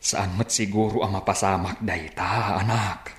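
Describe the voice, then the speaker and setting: A low-pitched, gentle older father in a radio drama. He counsels patiently, in a measured, admonishing way. The sound is roomy and echoey. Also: fairly even intonation. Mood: resigned patient.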